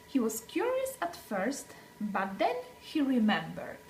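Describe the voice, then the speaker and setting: A woman's voice: fairly high pitch, huge pitch swings, a little slow, darkish tone, a little hiss or hum. A woman reading a picture book aloud to young children, expressively, with dramatic phrasing and emphasized words. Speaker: children's story reader.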